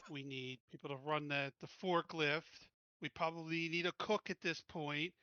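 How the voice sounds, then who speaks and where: A man's voice: on the high side, steady tone, moderate pace, bright, forceful tone, smooth, dry recording, muffled recording. A man chatting casually as a player in a tabletop roleplaying game session.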